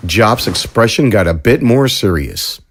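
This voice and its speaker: A man narrating a science fiction story in a measured, even storytelling manner. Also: on the low side. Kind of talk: scripted audiobook narration.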